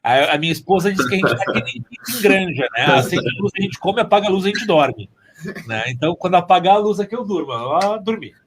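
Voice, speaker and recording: Homem gaúcho de voz mais aguda. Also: male voice, high-pitched, animated, brisk, a crowd in the background. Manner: often tags phrases with "né". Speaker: interview guest, musician and music teacher. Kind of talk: casual conversational storytelling.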